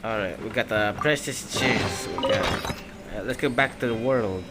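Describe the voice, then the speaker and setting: A man giving casual gameplay commentary as a video maker, in halting, loosely phrased speech. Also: lowish voice. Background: loud game music.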